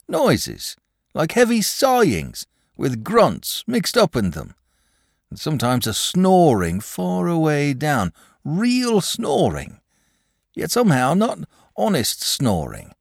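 A man's voice: medium pitch, vocal fry, dry recording, clean studio sound, voice pushed up, heavily acted. A man voicing a nervous, superstitious country shepherd and father from a storybook, rambling on emphatically in rural dialect. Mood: uneasy, confiding. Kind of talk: storybook character voice.

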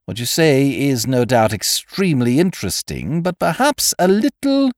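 A man's voice: medium pitch, big pitch swings, measured pace, a little mellow, no echo, clean studio sound, voice pushed up. A man voicing a stern middle-aged schoolmistress who speaks in a cold, firm, clipped way. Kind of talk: audiobook character voice.

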